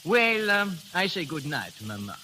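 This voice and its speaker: A man's voice: a little high, sing-song, unhurried, pushed and bright, a little hiss or hum, heavily acted. An actor playing a cheeky young French man who uses American slang in accented English, in 1930s radio style. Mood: cheeky, conniving. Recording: old 1930s radio recording with music.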